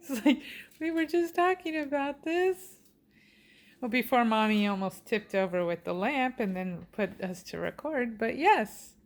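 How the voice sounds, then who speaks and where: Slow-paced woman chatting casually. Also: fairly high pitch, lively intonation. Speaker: co-host and parent. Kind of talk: casual chat.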